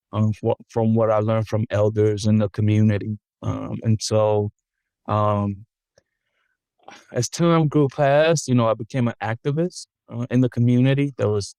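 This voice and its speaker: A man from the Dominican Republic, a podcast guest, talking in a relaxed, thoughtful way with frequent ums and restarts, mixing English and Spanish. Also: mid-range voice, clean tone, no echo. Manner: thoughtful, many ums and self-corrections. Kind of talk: casual interview, switching between English and Spanish.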